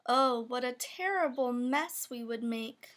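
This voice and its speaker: A woman's voice: a little high, unhurried, fairly bright tone, slight background noise. A woman reading a rhyming children's picture book aloud, with playful emphasis on the sound words. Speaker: storybook reader.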